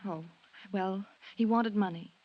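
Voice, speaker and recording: An actress playing an anxious, guilty wife who pleads hesitantly in a melodramatic 1950s radio drama style. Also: female voice, medium pitch, measured pace, natural voice. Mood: defensive, distressed. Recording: dull vintage 1950s radio recording.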